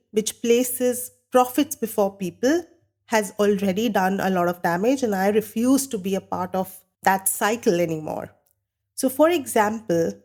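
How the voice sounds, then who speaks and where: A woman hosting a podcast while she has a bad cold, sounding nasal and croaky, talking earnestly and candidly on her own. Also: medium pitch, darkish tone. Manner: earnest, candid, talks with a light laugh now and then. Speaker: podcast host. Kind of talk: solo podcast monologue.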